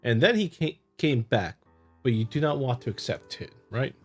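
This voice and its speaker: Casting: man as a brusque investigator for a visual novel, mid-pitched, skeptical and sardonic, faint music underneath. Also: expressive, normal speed, slightly rough. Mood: probing, skeptical. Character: brusque, skeptical male investigator questioning a witness. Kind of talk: visual novel dialogue read aloud.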